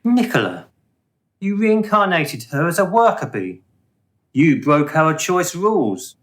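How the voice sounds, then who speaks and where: An actor playing a calm, resigned afterlife administrator, a complicit colleague in a radio drama. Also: male voice, on the high side, a little slow. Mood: calm, conspiratorial.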